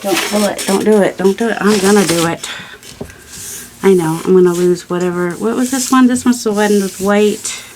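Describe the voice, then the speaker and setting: A woman's voice: medium pitch, moderate pace, a little mellow. Rambling, playful woman crafter with noisy backdrop. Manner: rambling, self-talking, playful self-scolding. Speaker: craft video host. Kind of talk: casual crafting chat while working. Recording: noisy, with clicks and crinkling paper.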